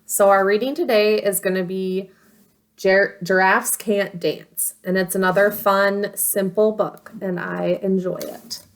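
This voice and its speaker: A woman reading a children's storybook aloud to a class, expressive and measured. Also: medium pitch.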